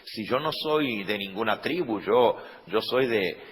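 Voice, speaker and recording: Slow-preaching man in an echoing hall. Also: fairly low pitch, lively intonation, background noise. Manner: rising to loud emphatic peaks, asking the congregation questions. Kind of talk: sermon. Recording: reverberant hall.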